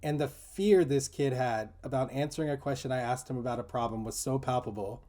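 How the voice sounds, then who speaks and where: A man's voice: mid-range voice, a little fast, mellow. A man talking in a casual, reflective discussion, explaining earnestly and often dropping in "you know" and "like". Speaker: teacher in a discussion group.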